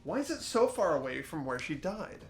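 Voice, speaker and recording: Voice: mid-range voice, big pitch swings, a little fast, soft background music. An amateur actor voicing an earnest, puzzled teenage boy student detective from a mystery game, hesitant and questioning. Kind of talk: amateur fan voice acting of a game script.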